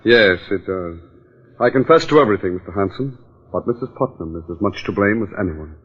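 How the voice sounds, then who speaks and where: Tinny, narrow old radio. scheming doctor, cold and resentful, 1940s crime-drama delivery. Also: male voice, sits low, lively intonation, brisk, slightly rough. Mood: bitter, confessing. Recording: thin, phone-like old radio recording.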